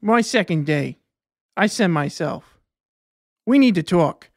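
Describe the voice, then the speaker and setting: An actor playing a menacing police officer with an East Coast American accent, curt and confrontational. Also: male voice, sits high, lively intonation, slow, no echo, clean studio sound, heavily acted. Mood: pushy, confrontational.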